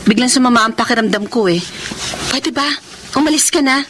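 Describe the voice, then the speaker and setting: A woman's voice: a little high, brisk, over loud music, close to an everyday voice. An actress playing a principled, feisty young female schoolteacher in a Filipino radio drama. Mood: indignant.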